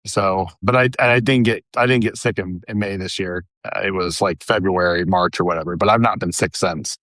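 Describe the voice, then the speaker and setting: Easygoing low-voiced podcast guy. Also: steady tone, normal speed, fairly bright tone, no echo. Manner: easygoing, chatty, many fillers like "like" and "dude". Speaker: podcast co-host. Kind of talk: casual chat.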